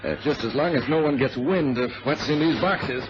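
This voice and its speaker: A man's voice: mid-range voice, normal speed, natural voice. A man playing a greedy gold thief, dismissive of danger, in an old radio adventure drama. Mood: gleeful scheming. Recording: muffled, noisy vintage radio recording.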